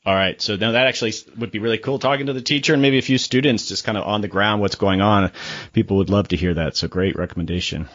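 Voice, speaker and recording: Man, podcast host, casual interview, upbeat, chatty, low voice, dull lo-fi audio. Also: quick pace, fairly bright tone. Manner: upbeat, chatty, says "all right" often.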